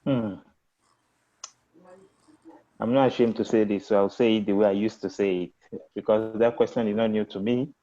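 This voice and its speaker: Dark-voiced Nigerian man, thoughtful panelist. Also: fairly low pitch, steady tone, normal speed, long pauses, muffled recording. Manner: hesitant, reflective, many fillers. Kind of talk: panel discussion.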